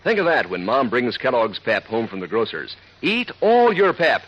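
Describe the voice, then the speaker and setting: A man's voice: sing-song, moderate pace, fairly bright tone. A man reading a 1940s radio advertisement as announcer, folksy and peppy, talking to kids, on an old recording. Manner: folksy, peppy pitchman addressing children. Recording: dull, husky-sounding old radio recording.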